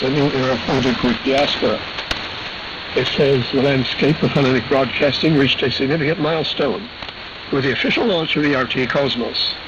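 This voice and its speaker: A man's voice: medium pitch, dull audio. A man hosting a radio program, reading a scripted shortwave news bulletin in a matter-of-fact way. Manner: matter-of-fact, spells out letters and frequencies. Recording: hissy, band-limited AM shortwave broadcast.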